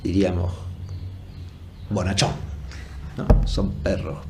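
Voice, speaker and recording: A man giving an explanatory academic lecture off the cuff, deliberate and often checking for agreement with short tag questions. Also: low voice, little pitch movement, creaky, noisy background. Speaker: philosophy lecturer.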